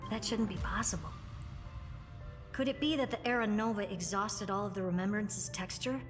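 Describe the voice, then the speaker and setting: Game casting: a woman playing a wry genius scientist, mid-pitched, dry delivery, long reverb on the game audio. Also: normal speed, close to an everyday voice. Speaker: game character. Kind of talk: video game voice acting. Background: game music.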